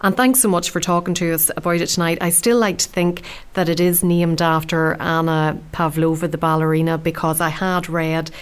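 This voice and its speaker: A woman's voice: on the low side, level tone, normal speed, few pauses, clean tone. Chatty Irish woman radio host. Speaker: radio food show host. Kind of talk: radio interview and links.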